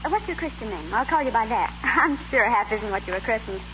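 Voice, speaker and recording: A woman playing a polite, gently firm young lady of a wealthy household, in 1930s radio style. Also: on the high side, expressive, fast, lightly acted. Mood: polite, hesitant. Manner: well-bred, formal, gently firm. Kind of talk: 1930s radio comedy acting. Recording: scratchy, muffled 1930s radio recording.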